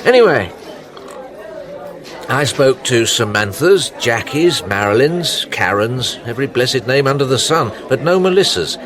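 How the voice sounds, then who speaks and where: An actor playing a chatty, wry private detective who tells things unhurriedly in a naturalistic radio drama. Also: male voice, medium pitch, very animated, measured pace, a crowd in the background.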